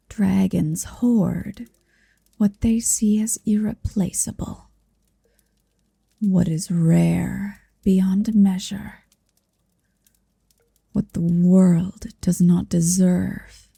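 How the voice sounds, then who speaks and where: A woman playing a possessive, centuries-old dragon in human form, tender yet commanding, in a soft, hushed ASMR roleplay that often slips into whispers. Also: on the low side, dry recording, studio quality, exaggerated voice. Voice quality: soft, breathy. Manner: slow, deliberate, hushed, often slipping into whisper. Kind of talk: ASMR roleplay, intimate second-person monologue.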